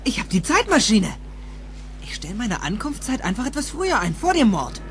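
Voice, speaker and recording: A man's voice: sits high, huge pitch swings, normal speed, slight background noise, full character voice. An actor playing an earnest, nervous teenage boy in a dubbed film, hesitant and easily startled, often urgent. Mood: anxious. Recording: film soundtrack with music behind.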